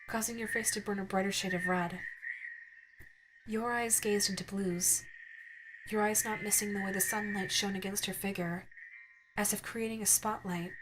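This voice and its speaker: Woman narrating softly over clear music. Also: mid-range voice, low-quality recording. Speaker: narrator and voice actress. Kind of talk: scripted second-person ASMR story narration. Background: instrumental music.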